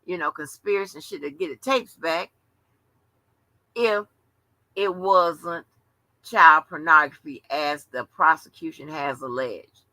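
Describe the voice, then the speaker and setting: A woman's voice: deep voice, unhurried, long pauses, sharp tone, dry recording, poor audio. Chatty woman in her seventies. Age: 70s. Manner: chatty, rambling, salty slang. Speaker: livestream host. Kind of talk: casual late-night commentary.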